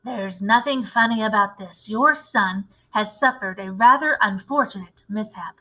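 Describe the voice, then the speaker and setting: Woman as a firm, measured elderly grandmother. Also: medium pitch, pushed and bright, low-quality recording. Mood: firm, bristling. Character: determined elderly grandmother, polite but bristling. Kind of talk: storybook read-aloud character voice.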